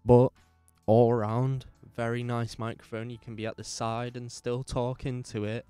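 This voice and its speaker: A podcast host with a bassy, slightly gravelly voice, talking casually and rambling, repeating himself, on a dynamic microphone that he moves closer and farther. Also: little pitch movement, normal speed, mellow, soft background music. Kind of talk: casual talk about microphone settings. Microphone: dynamic microphone, distance varies.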